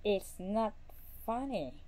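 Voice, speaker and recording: A woman's voice: mid-range voice, lively intonation, slow pace, warm tone. A woman voicing a nagging, exasperated wife in a storybook, full of exclamations. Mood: wailing, pleading. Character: scolding, shrill, exasperated woodsman's wife. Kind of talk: storybook character voice.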